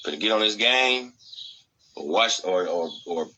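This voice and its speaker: American male co-host, forceful and raspy, blunt slang-heavy casual podcast banter. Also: on the low side, measured pace, poor audio. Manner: animated agreement, blunt, repeats for emphasis.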